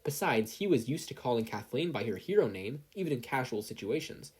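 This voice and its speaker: Husky, animated story narrator. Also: mid-range voice, brisk. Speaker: narrator reading fan fiction. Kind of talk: scripted story narration, expressive.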